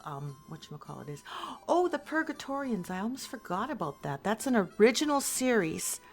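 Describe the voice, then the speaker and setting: A woman's voice: fairly high pitch, very animated, a little fast, faint music behind. A woman being interviewed as a voice actor, chatting casually in a hesitant, rambling way, as if thinking aloud.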